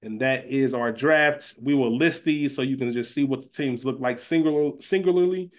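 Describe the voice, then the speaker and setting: A man's voice: mid-range voice, moderate pace. A man chatting casually and emphatically as a podcast co-host, conversational in his delivery. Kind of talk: casual chat and recap.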